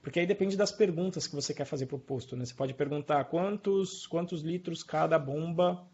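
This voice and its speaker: Husky Brazilian man teaching informally. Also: fairly high pitch, brisk, darkish tone. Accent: Brazilian Portuguese. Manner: colloquial, explanatory, checks in with listeners. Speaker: programming teacher. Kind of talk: informal live coding lesson. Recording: dull lo-fi online stream.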